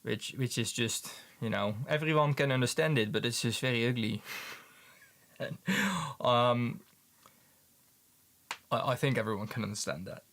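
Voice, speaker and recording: A man's voice: mid-range voice, a bit bright. A man from the Netherlands with a Dutch accent, chatting casually with viewers on a livestream and often stumbling and repeating words. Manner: halting, repeats words, many ums. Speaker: livestream host, paint maker.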